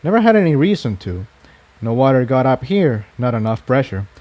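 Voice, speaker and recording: Man as solemn male unicorn, mellow voice. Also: medium pitch, big pitch swings, fairly quick, lightly acted. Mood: explaining. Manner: earnest, solemn, explanatory. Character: solemn, guilt-burdened male unicorn pony explorer.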